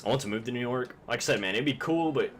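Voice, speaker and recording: A man's voice: mid-range voice, quick pace, a bit bright, a little hiss or hum. A young man from Kentucky, chatting casually and rambling with plenty of swearing while streaming a game. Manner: rambling, profane, laid-back.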